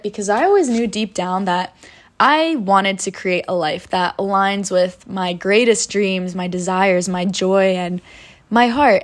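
A woman in her twenties hosting a podcast, telling her life story in a soft, reflective and earnest way, with spiritual wording. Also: mid-range voice. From United States. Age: 20s. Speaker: podcast host. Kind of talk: personal storytelling monologue.

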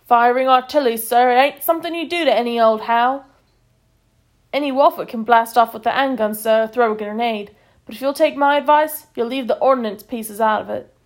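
A bellowing little army corporal barks drill orders in a rough cockney voice, as a woman reads him. His tone is gravelly and clipped, higher than a man's would be. He shouts like a sergeant major. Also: brisk, natural voice. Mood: earnest. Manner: barking, clipped, working-class.

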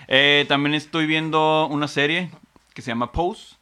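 A Mexican man co-hosting a casual podcast, talking about series he watches in relaxed, slangy speech. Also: fairly high pitch, measured pace. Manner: relaxed slangy storytelling with fillers. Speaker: podcast co-host. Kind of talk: casual chat, recommending series.

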